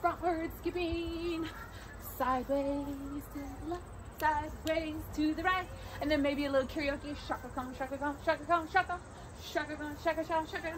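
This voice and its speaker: A woman's voice: high-pitched, fairly even intonation, moderate pace, a little hiss or hum, poor audio. A middle-aged woman giving a children's read-aloud and casual instruction, speaking to young listeners in simple, encouraging phrases. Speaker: teacher reading a picture book aloud to children.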